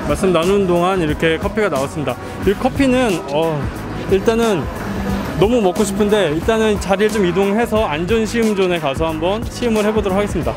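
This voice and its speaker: A man's voice: sits high, fast. A man in his thirties, a YouTuber touring a trade show, chatting politely and upbeat into a handheld mic in a busy indoor expo hall. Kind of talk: casual vlog narration and interviews. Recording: loud crowd and music behind. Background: crowd and music.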